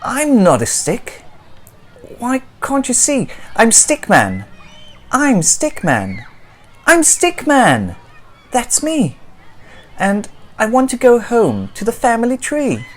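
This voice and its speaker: A woman's voice: on the high side, huge pitch swings, takes it slowly, pitched above the performer's own voice, full character voice. A woman voicing an indignant little stick man in a children's storybook, protesting plaintively and emphatically. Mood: indignant, pleading.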